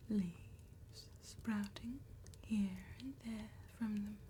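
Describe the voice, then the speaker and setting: A woman performing an elegant, courteous vampire tattooist in a soft-spoken ASMR roleplay, whispering breathily with formal, deliberate phrasing. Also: mid-range voice, fairly even intonation, a little hiss or hum. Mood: hushed, mysterious.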